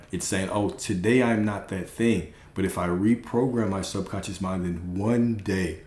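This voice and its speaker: A man's voice: low voice, darkish tone, roomy sound, slight background noise. A man hosting a live stream, giving an emphatic spiritual pep talk and repeating his key phrases.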